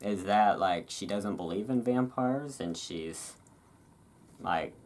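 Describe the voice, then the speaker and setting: Low, slow, mellow reading voice. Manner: casual, musing, hesitant asides. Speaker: host reading and commenting on a magazine. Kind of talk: relaxed ASMR read-aloud with off-the-cuff commentary.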